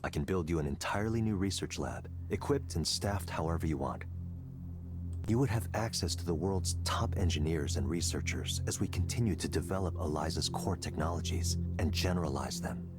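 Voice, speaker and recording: A man playing a confident, coldly intellectual tech executive in a visual novel, lecturing in a deliberate, persuasive way. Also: sits low, animated, music in the background. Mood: persuasive, assured.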